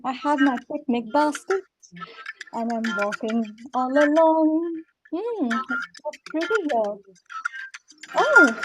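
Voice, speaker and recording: A woman's voice: high-pitched, rough recording. A woman voicing a cheerful little girl exploring a forest, exclaiming and whispering in wonder over a video call. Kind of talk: improvised storytelling acting.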